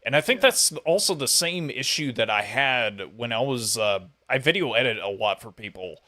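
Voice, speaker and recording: A man's voice: mid-range voice, animated, moderate pace, pushed and bright. A man hosting a podcast, chatting casually with his guest as interviewer.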